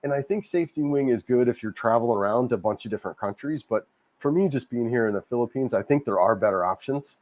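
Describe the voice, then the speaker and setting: A man hosting a casual livestream, a little hoarse from a cold, rambling and repeating himself as he answers viewer comments. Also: mid-range voice, fast talker, a little mellow, dry recording. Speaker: livestream host. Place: home in Cebu, Philippines.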